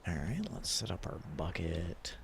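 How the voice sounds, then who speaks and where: A man's voice: sits low, normal speed. A man hosting a livestream, chatting casually and reading aloud, rambling and narrating what he does as he goes. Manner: rambling, self-narrating, repeats himself. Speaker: livestream host. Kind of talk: casual gaming livestream chat and story readalong. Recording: clear background noise.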